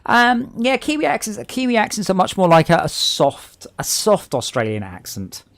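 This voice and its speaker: A man's voice: sits high, moderate pace, dry recording. Chatty southern English man gaming. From England. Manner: chatty, excitable, jokey, tangents. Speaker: streamer playing online with friends. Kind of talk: casual gaming chat.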